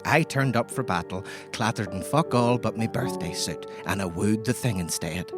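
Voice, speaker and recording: A man's voice: lowish voice, moderate pace, natural voice. A man playing a boastful, rambling Irish pub keeper, narrating comic tales in a wry, colloquial way over music. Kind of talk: comic first-person storytelling narration.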